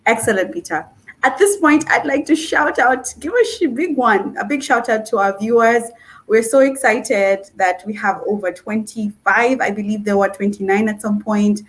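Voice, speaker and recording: A woman's voice: fairly high pitch, moderate pace, rough recording. A woman hosting a livestream in an informal, effusive and enthusiastic way. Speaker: livestream host.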